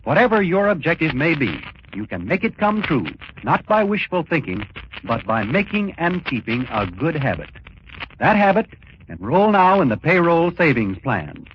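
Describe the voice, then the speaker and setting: Old 40s radio announcer guy, swoopy emphatic read, slightly high voice, dull crackly recording. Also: sing-song, moderate pace. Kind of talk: scripted 1940s radio announcement.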